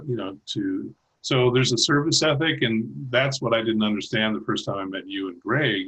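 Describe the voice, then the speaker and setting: A man speaking as a guest over a video call, talking haltingly with many restarts and light laughs. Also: fairly low pitch, sharp tone, breathy edge, poor audio. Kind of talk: casual chat.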